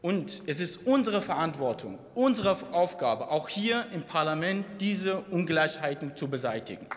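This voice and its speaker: A young man from eastern Germany delivers a combative, declamatory parliamentary speech at a lectern mic. His voice sits a little high for a man. It rings out through a large reverberant chamber, with a faint audience murmur behind it. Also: big pitch swings, moderate pace, a faint crowd, slight background noise. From Saxony, eastern Germany. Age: young adult. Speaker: member of parliament. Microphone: lectern microphone.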